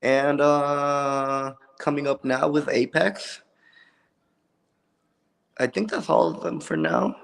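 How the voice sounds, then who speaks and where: Man with a lisp, gravelly voice. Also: fairly high pitch. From Puerto Rico. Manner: relaxed, joking, halting with ums. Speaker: wrestler, guest. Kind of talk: casual interview answers. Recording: long reverb.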